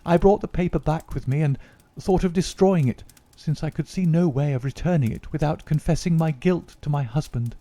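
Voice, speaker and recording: A man's voice: a little high, normal speed, mellow, dry recording, voice pushed up, lightly acted. A man voicing an anxious young noblewoman who speaks in a breathless, fearful way.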